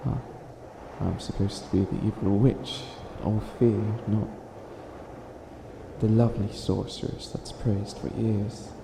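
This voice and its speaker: A man's voice: on the low side, level tone, takes it slowly, creaky. A man playing a theatrical, flustered would-be evil witch in a comedic ASMR roleplay, stammering and stretching words for dramatic emphasis. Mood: exasperated. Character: flustered self-styled evil witch, hopelessly helpful, theatrical. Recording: echoey room with audible background noise.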